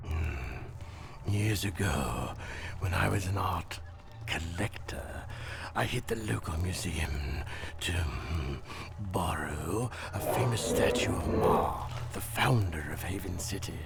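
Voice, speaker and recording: An actor playing an oily, scheming crime boss in a game cutscene, drawling and savoring every word with unctuous, gloating airs. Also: male voice, sits low, lively intonation, takes it slowly, creaky, music in the background, heavily acted. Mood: theatrical, wistful. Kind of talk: video game cutscene acting.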